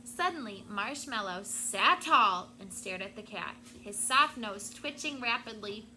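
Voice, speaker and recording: A woman teacher reading a picture book aloud to her class with expressive storytelling, stopping now and then to explain a word. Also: medium pitch, a little slow, a little hiss or hum, rough recording.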